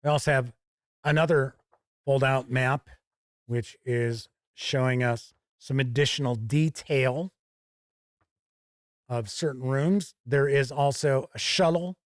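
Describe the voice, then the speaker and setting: A man hosting a casual product review, talking deliberately and explaining things step by step with conversational asides. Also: mid-range voice, no room sound, clean studio sound. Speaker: reviewer and show host.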